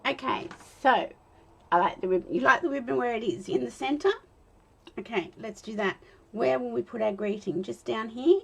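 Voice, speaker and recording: An Australian woman in her fifties, chatting and talking to herself while she demonstrates card making, with a slightly croaky voice. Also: on the high side, moderate pace. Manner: rambling, self-talk with soft laughs. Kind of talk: chatty crafting demo.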